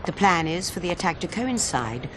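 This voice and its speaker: An actress playing a stern older British spy chief in a game cutscene, clipped, authoritative and dry. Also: female voice, lowish voice, very animated, normal speed. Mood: firm, cold. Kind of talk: cinematic game cutscene acting. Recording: loud background noise.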